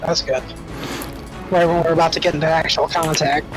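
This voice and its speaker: A man's voice: fairly high pitch, flat delivery, normal speed. A man chatting casually as a player in a tabletop game. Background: music.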